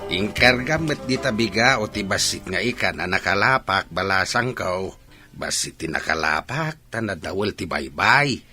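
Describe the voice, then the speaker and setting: A man's voice: deep voice, animated, moderate pace, music in the background. A man with an Ilocano accent playing a patient village fisherman father in a radio drama. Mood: resigned, gentle.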